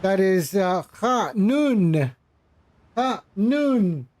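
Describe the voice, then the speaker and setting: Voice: mid-range voice, sing-song, very slow, darkish tone. A flight simulator streamer giving casual live commentary, patiently explaining and repeating phrases while chatting with viewers.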